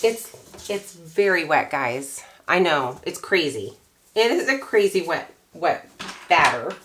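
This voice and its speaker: A hoarse woman, middle-aged, chatting casually as she walks through a craft recipe at home, thinking aloud as she goes. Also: low-pitched, takes it slowly. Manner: chatty, relaxed, thinks aloud while working. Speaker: craft maker. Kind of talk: casual how-to chat.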